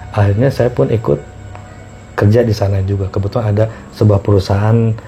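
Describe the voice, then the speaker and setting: Middle-aged man, low mellow voice, casual storytelling, halting and repetitive phrasing, faint music behind. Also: fairly even intonation, lo-fi sound. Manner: halting, repeats phrases as he searches for words. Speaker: podcast guest recounting past experiences.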